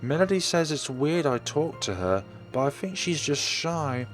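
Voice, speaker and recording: A cheerful young schoolgirl in a visual novel, played by a man. The voice is lifted well above his usual pitch. It is earnest and chatty, with faint music behind it. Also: sing-song, normal speed.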